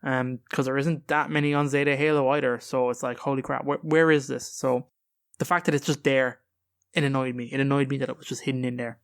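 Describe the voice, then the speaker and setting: A man's voice: medium pitch, fairly even intonation, a little fast, clean tone. A man hosting a podcast, talking casually and informally with emphatic opinions. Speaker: podcast host.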